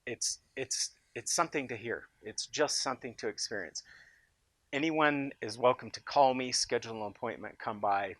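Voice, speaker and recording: An American man giving an informal, unscripted product pitch as a company boss, speaking with enthusiasm and emphasis. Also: normal speed, fairly bright tone, no room sound. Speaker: company founder presenting his loudspeaker in a product demo.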